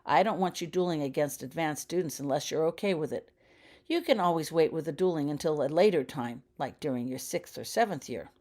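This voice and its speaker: A caring grown wizard, played by a woman reading a storybook speaks in a low register. He is calm and serious, putting measured, probing questions with gentle concern. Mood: serious, reassuring. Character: caring adult male wizard cousin, calm, grave and probing. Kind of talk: audiobook character dialogue.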